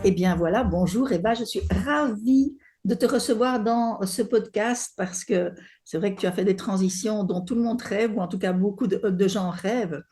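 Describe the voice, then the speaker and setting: Woman host of an interview podcast, calm and measured, mid-range voice. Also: medium pitch, soft background music. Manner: measured, guiding questions.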